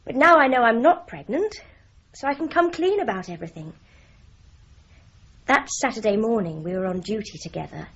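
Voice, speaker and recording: A woman's voice: a little high, animated, moderate pace, pauses often, highs cut off. An actress playing a candid, slightly sheepish young woman in a radio drama.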